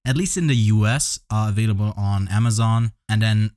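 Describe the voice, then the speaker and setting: A man's voice: fairly low pitch, fairly quick, a little mellow, dry recording. A man hosting a casual podcast, joking around and rambling with a co-host in a dry room. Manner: jokey, rambling, laughs often.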